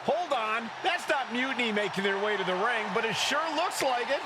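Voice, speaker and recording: Play-by-play wrestling caller, game broadcast, high, excited, loud arena backdrop. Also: male voice, fairly quick. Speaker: commentator. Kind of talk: wrestling commentary. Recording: very noisy arena ambience.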